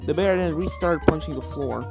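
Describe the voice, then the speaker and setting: A woman with a somewhat low voice narrates a scripted fan fiction story. Video game music plays clearly behind her reading. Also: moderate pace.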